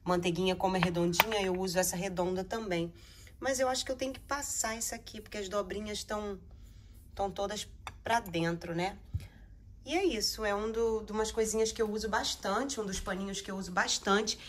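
A woman's voice: medium pitch, fairly even intonation, fairly quick, slight background noise. Chatty woman tidying her kitchen. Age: middle-aged. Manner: chatty, addresses viewers as "gente", uses many diminutives. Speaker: home organizing vlogger. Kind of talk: casual chatty narration while tidying. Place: home kitchen.

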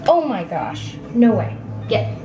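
A woman's voice: mid-range voice, sing-song, slow pace, music in the background. Playful woman hosting a mock cooking show. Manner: playful, silly, self-mocking. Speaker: vlogger cooking on camera. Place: small home kitchen. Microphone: handheld camera at arm's length. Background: sixties French pop playing.

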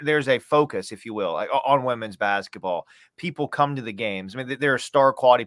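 A man's voice: medium pitch, a bit bright, dry recording. Dry-sounding man in casual sports talk. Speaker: radio play-by-play announcer as guest. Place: small room, indoors, video call.

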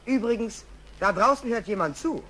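An actor playing an eager, kindly young lion cub, earnest and cheerfully bossy, in a cartoon dub. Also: male voice, extremely high pitch, animated, normal speed, sharp tone, full character voice, poor audio. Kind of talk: German-dubbed cartoon dialogue.

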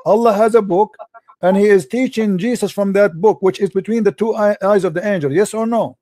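Male debate host, higher-pitched, soft-toned yet insistent, argumentative, closely recorded. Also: brisk, no echo, clean studio sound. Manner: insistent, interrupting, repeats himself. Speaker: debate host on a live religious stream. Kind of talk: argumentative debate, quoting Arabic scripture.

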